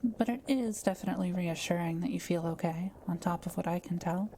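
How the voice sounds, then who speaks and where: A woman playing a kind, soft-spoken witch who loves solitude, speaking gently and reassuringly to the listener in an intimate roleplay audio drama. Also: on the low side, brisk, slight background noise, close to an everyday voice. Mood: caring, attentive. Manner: gentle, caring, softly reassuring.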